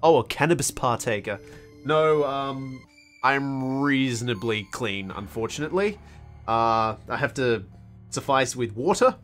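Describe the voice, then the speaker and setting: A man's voice: medium pitch, expressive, a little slow, a bit bright, soft background music. A young Australian man casually commenting on a game he is streaming, with wry, self-mocking asides and a slightly strained voice. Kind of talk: casual let's play commentary.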